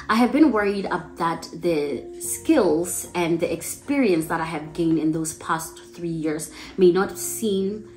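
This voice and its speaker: A young South African woman talking to camera about her teaching work, in an earnest, candid way. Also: on the low side. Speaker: English teacher and vlogger. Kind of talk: casual talk to camera. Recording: echoey room with faint music.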